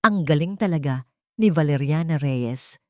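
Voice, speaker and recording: A woman's voice: sits low, moderate pace, dry recording, close to an everyday voice. An impressed business gossip at a gala in a drama story, read by a woman. The recording is dry.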